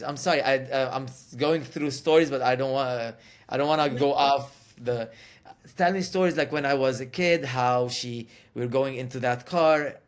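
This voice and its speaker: Emphatic man. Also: mid-range voice. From Lebanon. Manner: emphatic, repeats phrases for weight. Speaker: guest. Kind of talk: candid interview talk.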